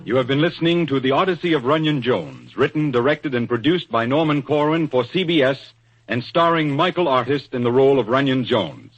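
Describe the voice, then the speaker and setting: A man's voice: medium pitch, lively intonation, fairly quick, soft background music. A man reading a scripted closing announcement in 1940s radio style. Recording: dull old-time radio recording.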